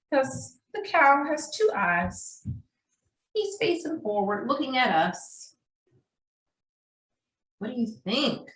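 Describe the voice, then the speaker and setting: A woman's voice: fairly high pitch, sharp tone. A woman leading a children's craft and writing lesson as a preschool teacher, speaking in an encouraging sing-song way and often checking in with questions. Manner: addresses young children, frequent checking questions. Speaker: preschool story time teacher. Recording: roomy echo, distant microphone.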